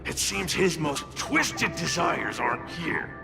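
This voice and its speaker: An actor playing a mischievous, mocking onlooker in a video game, with a sardonic, theatrical, gloating delivery. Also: male voice, fairly high pitch, very animated, slow, gravelly, music in the background, full character voice. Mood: sardonic, musing. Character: mischievous, mocking intruder commenting inside someone's mind.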